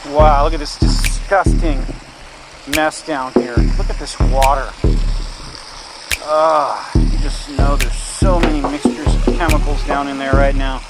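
Slow low-voiced woman vlogging outdoors. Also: steady tone, poor audio. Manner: exclaiming in disgust while pointing out trash. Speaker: urban explorer vlogger. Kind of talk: casual walk-and-talk narration. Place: outdoors by a storm drain channel. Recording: loud music over the voice.

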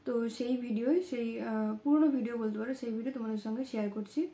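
A woman in her thirties with a voice hoarse from a cold, talking casually to her vlog viewers in a small room at home. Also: a little high, very fast, mellow, reverberant. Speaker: vlogger.

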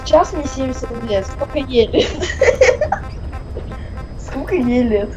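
Woman, high voice, pushy teasing chat, game music behind her. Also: big pitch swings, takes it slowly, low-quality recording. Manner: teasing, insistent, repeats herself. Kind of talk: casual chat while gaming.

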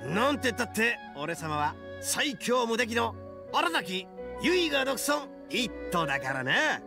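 A man's voice: very high voice, sing-song, unhurried, over music, heavily acted. A man voicing a brash, boastful young oni gang boss with a swaggering, theatrical delivery in a game.

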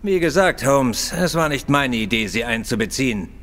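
A man's voice: medium pitch, expressive, normal speed, fairly bright tone, lightly acted. An actor playing a self-assured male police inspector in a German audio drama, officious, curt and grudging. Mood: brusque, self-assured. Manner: officious, brusque.

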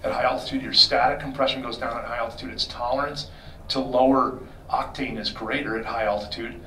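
A man's voice: medium pitch, fairly even intonation, brisk, sharp tone, slight background noise. A middle-aged man answering audience questions about engines in a conversational, explanatory way, speaking into a handheld mic in a hotel meeting room. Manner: explanatory, conversational, self-correcting. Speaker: performance shop expert. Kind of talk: technical Q&A talk. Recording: roomy sound from a distant camera.

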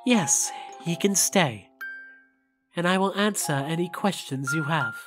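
A performer playing a regal, grave demon prince in an animated fan audio drama, formal, haughty and measured. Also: medium pitch, over music, close to an everyday voice. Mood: composed.

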